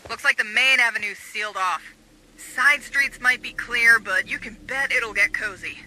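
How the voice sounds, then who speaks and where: A woman playing a wary, composed administrator on a military mission in a video game, speaking in a measured, questioning way. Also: on the high side, bright, forceful tone, a little hiss or hum, rough recording. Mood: wry. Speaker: deputy administrator. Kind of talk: video game cutscene acting.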